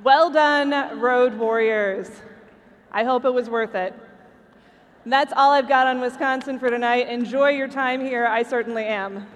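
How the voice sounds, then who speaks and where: A woman's voice: on the high side, steady tone, unhurried. An American woman from Michigan presenting scripted comic trivia to a live audience in a playful, emphatic way. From Michigan, United States. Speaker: podcast host presenting trivia. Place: convention stage before a live audience. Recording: reverberant hall stage recording, background noise.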